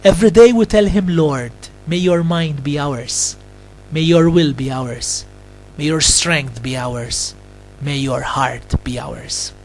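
A man, a Capuchin friar, delivers a Christmas homily and prayer in short deliberate phrases with long pauses. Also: a little high, faint music behind, low-quality recording.

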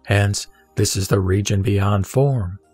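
Man, low voice, solemn measured reading, dry close sound, soft music behind. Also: slightly rough, dry recording. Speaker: sutra reciter. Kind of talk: scripted scripture reading. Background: soft background music.

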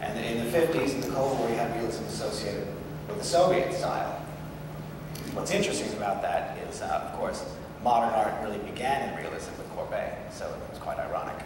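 A man in his thirties lays out an argument point by point, articulate and measured, with a slightly low voice. He is heard from far off across a large, echoey hall with steady background hiss. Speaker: journalist panelist. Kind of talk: panel discussion.

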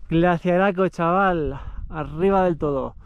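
A man's voice: high voice, unhurried, clean tone, no echo, dull audio. A young man with a European Spanish accent doing casual, slangy, enthusiastic commentary for an outdoor vlog. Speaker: vlogger. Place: outdoors on snowy mountain slopes.

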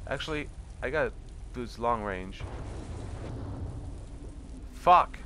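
Young male let's player, stuffy from a cold, sarcastic and profane, mid-pitched, noisy home recording. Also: lively intonation, slow, a bit bright. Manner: swearing, sarcastic, talks to enemies on screen. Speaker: let's play commentator. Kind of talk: casual gameplay commentary. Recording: home recording with clear background noise.

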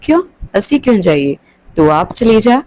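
A woman's voice: mid-range voice, sing-song, normal speed, darkish tone, natural voice, rough recording. A woman voicing a bratty young girl with a defiant, taunting manner in a story.

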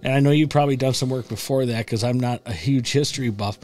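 A man's voice: mid-range voice, fast, darkish tone. Middle-aged American man, rambling podcast host. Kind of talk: casual podcast chat. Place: indoor podcast studio. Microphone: close boom-arm podcast microphone with headset.

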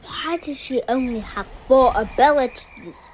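A woman's voice: high-pitched, a little slow, heavy background noise. A young woman giving casual, offhand commentary while playing a video game. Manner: chatty, offhand, wandering. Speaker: gamer.

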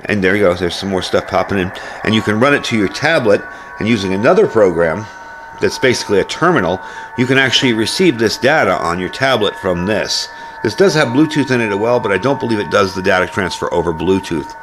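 A man's voice: on the low side, animated, a little fast, music in the background. A man giving a casual hands-on gear review as host, explaining things informally, step by step.

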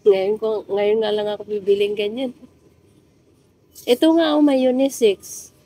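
Slow-talking woman vlogging at the shops. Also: a little high, lively intonation, mellow, low-quality recording. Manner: chatty, laughs often, talks half to herself. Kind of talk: casual shopping vlog chat. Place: large supermarket aisle. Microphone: phone camera held in hand.

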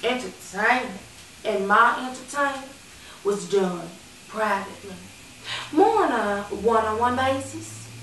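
A woman performing a stage monologue as a sassy, candid retired call girl who reminisces fondly with playful, dramatic pauses. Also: on the high side, expressive, a bit bright. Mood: coy, confiding. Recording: echoey room, distant mic, background noise.

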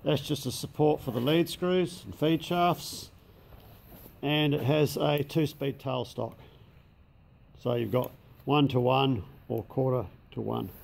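A man's voice: mid-range voice, slow pace, vocal fry, darkish tone. An Australian man who runs a machine shop, giving a casual, matter-of-fact walkthrough in a large indoor workshop shed. He speaks plainly, uses local slang, and is recorded on a handheld camera mic.

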